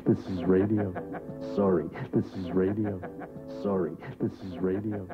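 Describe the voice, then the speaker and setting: A man's voice: low voice, flat delivery, unhurried, music in the background. A man repeating a short radio announcement like a mechanical loop. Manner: repetitive, mechanical repetition. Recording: dull lo-fi radio tape.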